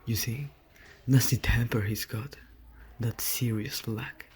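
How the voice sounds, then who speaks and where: A man's voice: mid-range voice, steady tone, takes it slowly, a little mellow, a little hiss or hum, lightly acted. A man voicing a mischievous, mock-serious poltergeist who relishes attention, in a fan audio drama. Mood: gleeful, taunting.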